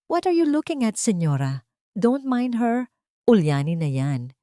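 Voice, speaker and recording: A woman's voice: fairly high pitch, big pitch swings, unhurried, dark tone, no room sound, voice pushed up. Woman acting a scornful villainess, disrespectful, heartless, cold, audio love drama, dry close recording. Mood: mocking. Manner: mocking, disrespectful, mixing in English. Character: cold, mocking, heartless younger woman villain, a daughter-in-law.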